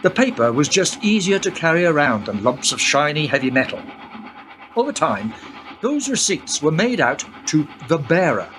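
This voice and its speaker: A man playing a knowing guide who explains history to a young woman in a radio play, in an explanatory, unhurried way. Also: on the high side, very animated, fairly bright tone, slight background noise. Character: wise older male guide through history, knowing. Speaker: narrator-guide.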